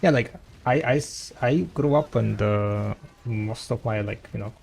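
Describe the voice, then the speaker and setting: A man whose first language is Russian, with a light Russian accent, chatting with viewers as a game streamer and trailing off in halting restarts. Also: fairly low pitch, creaky, a little mellow, a little hiss or hum. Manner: rambling, halting, many restarts. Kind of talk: casual chat with viewers.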